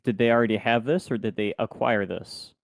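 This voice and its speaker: A man playing a tabletop game, speaking in measured, formal phrasing as his character. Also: mid-range voice, steady tone, vocal fry, no room sound. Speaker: player.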